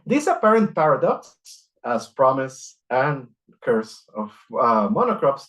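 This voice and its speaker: Male lecturer, middle-aged, from Costa Rica; mid-pitched, measured delivery read from notes, frequent "uh". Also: sing-song, a bit bright, poor audio. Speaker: guest lecturer. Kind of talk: academic lecture.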